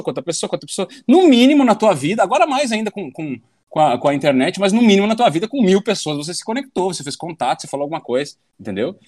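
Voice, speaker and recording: A man's voice: sits high, dry recording, studio quality. A man in his thirties, a podcast guest, talking at length in a rambling, philosophical way and swearing casually. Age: 30s.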